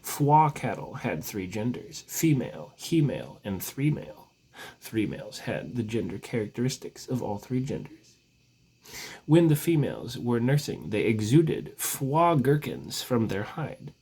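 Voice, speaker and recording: A man with a husky voice reads a storybook legend aloud as narrator, stretching words with theatrical emphasis. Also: fairly low pitch, very animated, unhurried, dark tone, poor audio.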